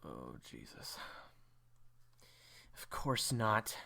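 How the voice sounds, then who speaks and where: A man acting in an audio drama as a weary, guarded assassin with a husky, gravelly voice. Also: low-pitched, big pitch swings, extremely slow, sharp tone, heavily acted. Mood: weary, rueful. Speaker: voice actor in an audio roleplay.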